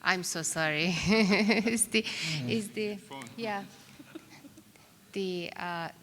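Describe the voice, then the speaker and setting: A woman's voice: mid-range voice, very slow, a bit bright, a little hiss or hum. A woman moderating a conference panel, speaking hesitantly with many fillers. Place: large conference hall. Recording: long conference hall reverb.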